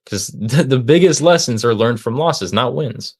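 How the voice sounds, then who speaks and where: A man's voice: mid-range voice, expressive, normal speed, breathy edge. A young man of about twenty, a guest in a casual interview, talking in an upbeat, rambling way with many fillers and restarts. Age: young adult, about 20. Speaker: interview guest, student and online seller.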